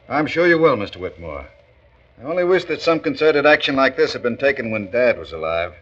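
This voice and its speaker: An actor playing a fair-minded plant manager in 1940s radio drama, plainspoken and businesslike, with an American accent. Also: male voice, mid-range voice, very animated, brisk, fairly bright tone. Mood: won over. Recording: dull 1940s radio recording.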